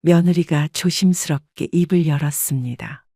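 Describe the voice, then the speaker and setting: Calm woman narrating a family story. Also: deep voice, normal speed, no room sound, clean studio sound. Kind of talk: scripted first-person story narration.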